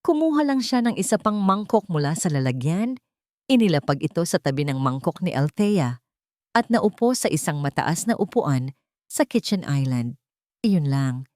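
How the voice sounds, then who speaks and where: Female narrator, lowish register, dramatic audio-story read, dry sound. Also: very animated, normal speed, darkish tone, dry recording.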